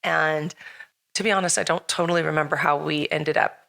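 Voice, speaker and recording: A middle-aged woman with a slightly hoarse, raspy voice hosts a casual podcast chat, rambling with lots of fillers and frequent laughs. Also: deep voice, steady tone, a little fast, a bit bright. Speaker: podcast host.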